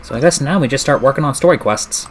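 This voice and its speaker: A man's voice: medium pitch, lively intonation, quick pace, soft background music. A man chatting casually and informally as he live streams a game, swearing mildly. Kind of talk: casual gaming stream chat. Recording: clearly noisy stream audio.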